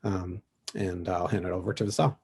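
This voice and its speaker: A man gives a team pitch presentation with halting, hesitant phrasing. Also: steady tone, vocal fry, darkish tone, slight background noise. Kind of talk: semi-rehearsed pitch presentation.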